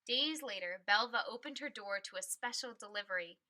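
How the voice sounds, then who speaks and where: A woman reading a picture book aloud as a storyteller, with expressive, emphatic and clearly phrased delivery. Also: medium pitch, fairly quick.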